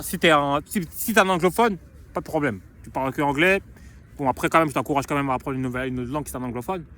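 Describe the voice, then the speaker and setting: A man's voice: fairly high pitch, slight background noise. A young man talking casually in a vlog, slangy and rambling, restarting and repeating phrases. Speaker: YouTuber promoting his book.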